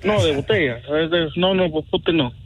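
Hesitant high-voiced man. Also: normal speed, faint music behind, rough recording. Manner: hesitant, lots of fillers, answers briefly. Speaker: prank call victim.

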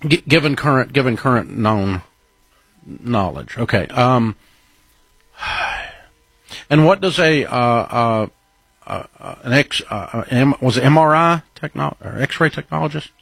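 A man's voice: medium pitch, expressive, unhurried, gravelly, pauses often, fairly bright tone, slightly rough, no room sound. A man hosting a call-in advice show, cutting in with direct, probing questions. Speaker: host.

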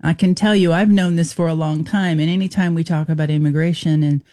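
A man hosting a radio show, lively and effusive as he talks with a guest. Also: a little high, brisk. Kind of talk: casual radio interview.

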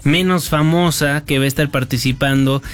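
Chatty man, higher voice. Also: moderate pace. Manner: chatty, lively storyteller who laughs easily. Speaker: co-host. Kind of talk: casual chat on a broadcast show.

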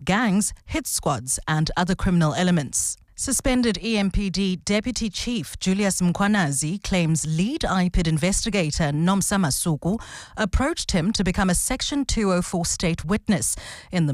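A woman reading a radio news bulletin in a crisp, measured delivery. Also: lowish voice, no room sound, clean studio sound. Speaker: newsreader.

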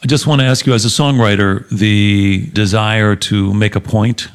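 Low-voiced man hosting an interview. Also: fairly even intonation, moderate pace, clean tone. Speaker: interviewer, host. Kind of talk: conversational music interview.

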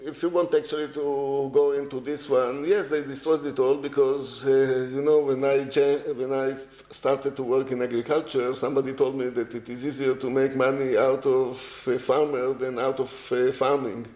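An older man from Israel, answering interview questions in a halting way with many fillers and restarts. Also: medium pitch, level tone, a little mellow, reverberant, poor audio. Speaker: guest, agriculture technology executive.

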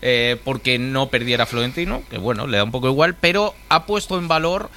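Bright-voiced male reporter, noisy background. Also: medium pitch, expressive, fairly quick. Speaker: sports radio reporter. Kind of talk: conversational live report.

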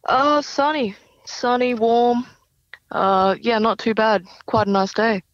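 A woman's voice: on the high side, fairly even intonation, slow pace, dry recording, dull audio. A young woman with an Australian accent giving casual, thoughtful, somewhat hesitant answers as an interview guest. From Brisbane, Australia. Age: young adult. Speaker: singer-songwriter, interview guest.

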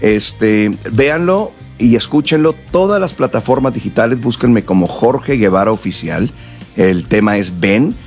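Relaxed man, rambling. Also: fairly low pitch, a little slow, soft background music. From northern Mexico and South Texas. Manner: relaxed, rambling, frequent fillers and restarts. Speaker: musician guest. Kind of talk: casual interview chat.

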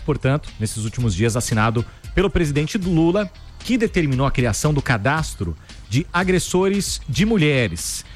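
A man co-hosting a radio news show, reading headlines briskly with casual asides. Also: on the high side. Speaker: news co-host. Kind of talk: radio news bulletin chat. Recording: faint music bed behind.

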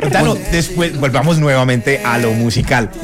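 Radio cohost dude, normal voice, keeps things on track, music clear in back. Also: male voice, mid-range voice, moderate pace. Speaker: radio co-host. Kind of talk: casual radio interview.

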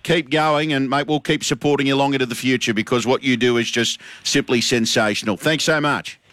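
A man's voice: medium pitch, steady tone, brisk, a bit bright, no room sound. A man with an Australian accent hosting a radio show, chatting casually and cheering on guests. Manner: upbeat, matey banter. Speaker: radio host.

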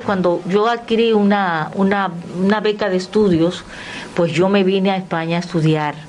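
A woman's voice: fairly low pitch, slight background noise. An older woman, reminiscing as a guest and acting out remembered conversations as she goes. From Dominican Republic. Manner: animated storyteller, acting out remembered dialogue. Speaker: guest, television performer. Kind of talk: spoken reminiscence at an event.

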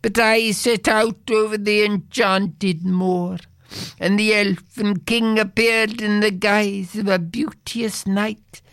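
A man voicing a mournful old male goblin who was once a mortal, speaking archaic words with breathy whispers. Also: sits high, flat delivery, slow, fairly bright tone, no room sound, pitched above the performer's own voice, full character voice. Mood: wailing.

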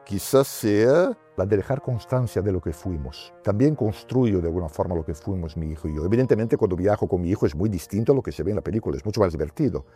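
A man's voice: deep voice, expressive, fast, dark tone, faint music behind. A middle-aged man, a documentary filmmaker, talking reflectively in an interview and checking in with little tag questions.

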